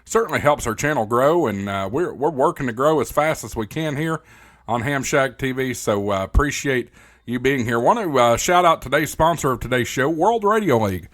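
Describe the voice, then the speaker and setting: A man's voice: mid-range voice, expressive, moderate pace, a bit bright. A man hosting a ham radio channel, talking in a casual, folksy presenter style straight to his viewers.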